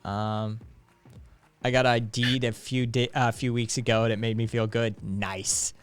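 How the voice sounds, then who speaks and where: A man's voice: medium pitch, flat delivery, a little slow, clear, resonant tone. A man in his late thirties chatting on a live stream in a loose, rambling way, swearing freely and filling gaps with ums. His voice sits in a middle range. Faint music plays underneath. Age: late 30s. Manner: profane, rambling, laid-back with frequent fillers. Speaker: streamer host. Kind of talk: casual live-stream chat.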